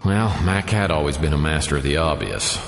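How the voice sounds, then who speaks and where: Deep-voiced, world-weary private eye. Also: male voice, fairly quick, creaky. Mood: deadpan. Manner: deadpan, wisecracking. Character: wry, world-weary male private detective. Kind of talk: hardboiled noir radio drama narration. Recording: roomy reverb with music behind.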